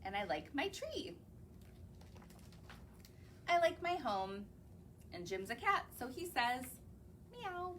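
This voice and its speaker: Animated sing-song woman reading to kids. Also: sits high, takes it slowly, long pauses, slight background noise. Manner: sing-song, asks the listening children questions. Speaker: children's librarian. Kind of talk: reading picture books aloud to young children. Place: public library.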